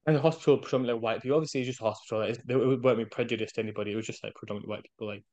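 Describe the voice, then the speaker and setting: A British man chatting casually as a podcast guest, telling animated anecdotes and acting out bits of dialogue in a chatty way. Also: mid-range voice, racing. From United Kingdom. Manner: chatty, animated storytelling with self-quoted dialogue.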